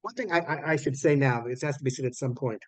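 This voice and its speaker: Guy giving an online lecture, mid-pitched voice, stop-start explaining, repeats himself, very dry room. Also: dry recording. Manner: halting, explanatory, with repeated phrases and self-corrections. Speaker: online course teacher of academic English writing. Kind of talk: informal online lecture to a class.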